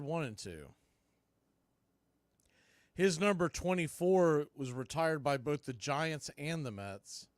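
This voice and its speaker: A man's voice: mid-range voice, no room sound. A man calling live baseball play-by-play and mixing in trivia, with clipped calls that trail off at phrase ends.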